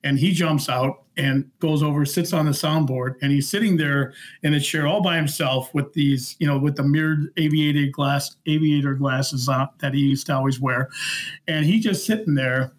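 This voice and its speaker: A man's voice: a little high, normal speed. A middle-aged man, a podcast guest and former security worker, telling casual anecdotes and acting out bits of dialogue.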